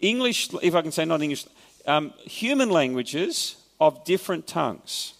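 A man's voice: on the high side, sing-song, normal speed, creaky, a bit bright, long reverb tail. A man with an Australian accent preaching a sermon, explaining scripture in a conversational way with wry asides. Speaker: pastor. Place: church hall.

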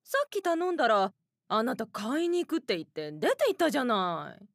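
An ordinary mother at home, acted by a woman, talks in a high, very animated voice. She is brisk, singsong and a little puzzled, in a dry audio drama recording. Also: gravelly, dry recording, heavily acted.

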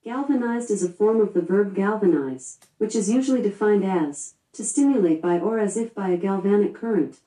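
A smart speaker woman's voice, mellow. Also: mid-range voice, normal speed, few pauses, echoey room, rough recording. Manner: flat dictionary reading. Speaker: voice assistant.

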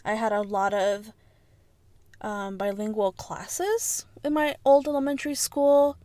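A woman's voice: mid-range voice, measured pace, warm tone, dry recording. A young woman whose first language is Spanish, chatting earnestly as a podcast co-host and telling stories from her own life. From United States. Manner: earnest, reflective, storytelling. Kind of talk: casual chat.

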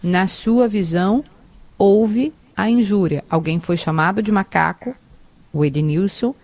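A woman co-hosting a radio interview, recapping for listeners in deliberate, emphatic phrases. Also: medium pitch, a little mellow. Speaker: radio co-host.

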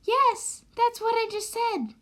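Woman as a high-pitched, earnest townsperson. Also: animated, slow pace, a bit bright, heavily acted. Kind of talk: amateur radio drama acting.

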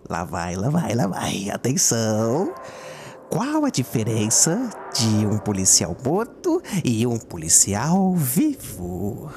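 An actor playing a sadistic, theatrical killer clown with a mocking, exaggerated delivery in an audio drama. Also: male voice, medium pitch, wildly swooping pitch, slow pace, noisy background, exaggerated voice. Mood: taunting. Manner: taunting, exaggerated, swinging delivery.

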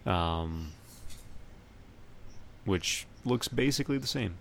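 Male podcast host, low-pitched, casual and halting delivery, some background noise. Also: lively intonation, creaky.

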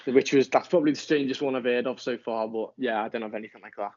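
A man's voice: medium pitch, darkish tone, highs cut off. A young man with a Liverpool accent, a student footballer chatting as an interview guest, often restarting his sentences. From near Liverpool, England. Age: young adult. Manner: halting, many restarts. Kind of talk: casual interview chat.

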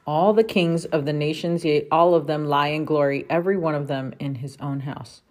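A woman reads scripture aloud for her family in a low-pitched voice. She keeps a steady, careful read-aloud pace and stops briefly to correct a word. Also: moderate pace. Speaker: family member reading scripture.

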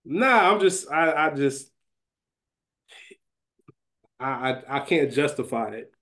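A man's voice: mid-range voice, expressive, takes it slowly, bright, forceful tone. An American man co-hosting a podcast in casual, bantering chat. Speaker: podcast co-host.

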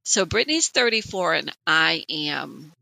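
A woman in her late forties co-hosting a podcast, telling personal stories in an animated, conversational way. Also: sits low, measured pace, vocal fry, no room sound, rough recording. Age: late 40s. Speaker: podcast co-host. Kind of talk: casual personal podcast chat.

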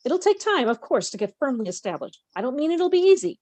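A woman's voice: sits high, sing-song, fast, a little mellow, dry recording. A woman co-hosting a podcast, expressively reading a novel aloud with casual, conversational asides.

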